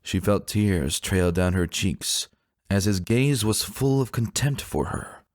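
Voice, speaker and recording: Low-voiced man narrating dramatically. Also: animated, slow pace, a little mellow, dry recording, studio quality. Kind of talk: dramatic scripted fantasy narration.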